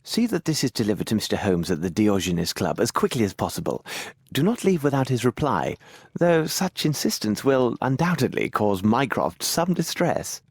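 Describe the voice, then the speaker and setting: An actor playing a brisk, analytical English gentleman detective in a video game, speaking formally and precisely. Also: medium pitch, big pitch swings, slightly rough. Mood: brisk, commanding. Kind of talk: video game cutscene acting.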